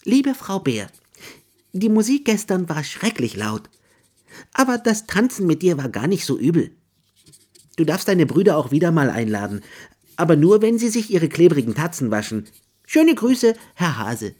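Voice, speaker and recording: A storyteller voicing a timid, tidy, polite male hare in a children's story, talking high and eager. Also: sing-song, moderate pace, warm tone, husky. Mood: earnest.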